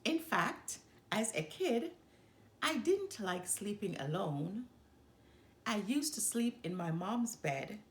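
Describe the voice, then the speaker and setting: A woman reading a children's book aloud in an expressive storytelling style, in short, deliberate phrases with pauses for emphasis. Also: mid-range voice. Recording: roomy, echoing room sound.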